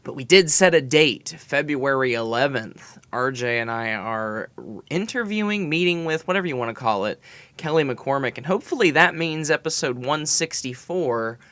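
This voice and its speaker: A man's voice: mid-range voice, expressive, normal speed, fairly bright tone. Laid-back, chatty male podcast co-host. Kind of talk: casual chat.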